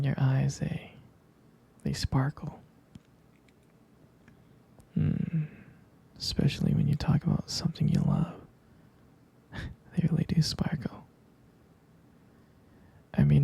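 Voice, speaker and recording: A man acts out a tender, protective vampire boyfriend in an intimate ASMR roleplay. His voice is a very husky, breathy murmur, thick with creak and very soft and dark. He takes his time, hesitating between affectionate pet names and gentle teasing. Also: fairly high pitch, exaggerated voice. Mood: affectionate, adoring.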